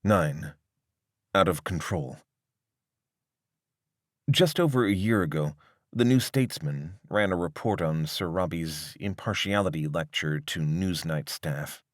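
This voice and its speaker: A man reading a scripted piece of long-form journalism as narrator, in a measured, articulate way. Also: sits low, expressive, dry recording, clean studio sound.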